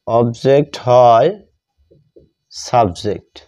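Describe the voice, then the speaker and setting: A man, a native Bengali speaker, giving a step-by-step grammar lesson as a teacher, dictating deliberately term by term with repetition. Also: medium pitch, dark tone.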